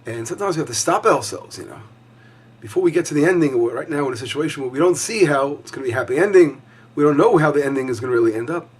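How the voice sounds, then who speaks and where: Hoarse rabbi giving a casual lesson. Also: male voice, on the high side, lively intonation, very fast. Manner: warm, conversational teaching with many fillers and restarts. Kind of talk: weekly Torah lesson.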